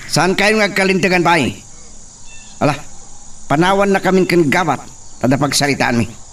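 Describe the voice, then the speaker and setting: A man's voice: a little high, moderate pace, a bit bright, close to an everyday voice. A man with an Ilocano accent playing a hot-tempered, imperious young master of an estate in a radio drama. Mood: irritated, impatient. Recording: distant mic, noisy cricket ambience.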